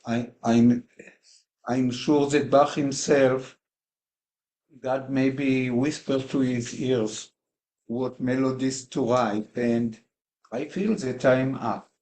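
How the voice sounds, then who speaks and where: A man whose first language is Hebrew, sharing a personal reflection in a class discussion, hesitant with many pauses and fillers. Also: mid-range voice, fairly even intonation, darkish tone. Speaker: class participant.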